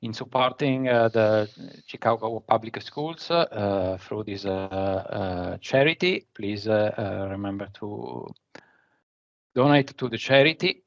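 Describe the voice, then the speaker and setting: A man in his forties from Italy with an Italian accent, giving a remote conference presentation from his office and often pausing to search for words. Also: fairly low pitch, highs cut off. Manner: halting, searching for words between phrases. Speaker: conference speaker.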